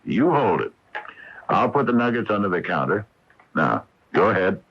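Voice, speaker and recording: An actor playing an earnest, stubborn, plain-spoken small-town store owner in a radio mystery drama. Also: male voice, lowish voice, very animated, moderate pace, noisy background. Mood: sheepish, coaxing. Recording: dull lo-fi 1980 radio broadcast.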